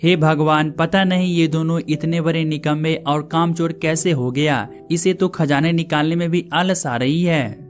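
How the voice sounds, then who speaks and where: A weary village father voiced by a man in a cartoon moral tale, dismayed and lamenting. His voice is fairly high for a male, over faint music. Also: fairly even intonation, a little slow, hardly any pauses, natural voice.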